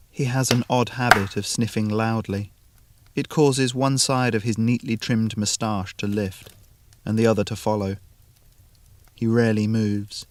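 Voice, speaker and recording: Low-voiced man narrating atmospheric fiction. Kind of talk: atmospheric scripted short story narration.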